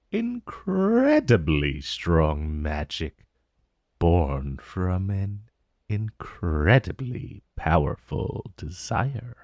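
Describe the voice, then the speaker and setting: Man voicing a slow, low, theatrical ancient dragon. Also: creaky, a little mellow, no echo, clean studio sound, heavily acted. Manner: theatrical, drawling, savoring words, amused. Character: ancient male dragon, urbane, intrigued, vaguely menacing familiar. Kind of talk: fantasy roleplay ASMR monologue.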